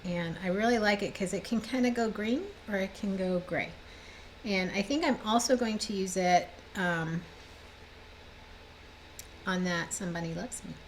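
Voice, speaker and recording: A woman's voice: mid-range voice, slight background noise, poor audio. A woman in her fifties, a little stuffed up, chatting cheerfully and rambling as she shows her cross-stitch projects at home. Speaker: cross-stitch designer and vlogger. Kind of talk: casual show-and-tell chat. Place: home room with bookshelves, indoors.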